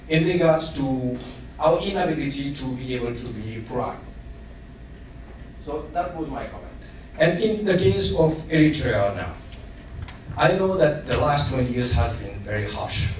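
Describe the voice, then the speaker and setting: Senegalese man, audience comment at a panel, halting with fillers, picked up far off in a big echoing hall with noise. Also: fairly high pitch, a little mellow. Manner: halting, many fillers, building emphasis. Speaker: audience member asking a question. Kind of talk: formal public comment at a panel. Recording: echoey hall, distant mic, noisy.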